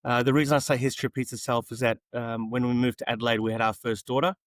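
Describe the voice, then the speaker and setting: A man's voice: mid-range voice, flat delivery, fast, no echo, clean studio sound. A man telling his story casually as a podcast guest, easygoing and matey. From South Africa, later Australia.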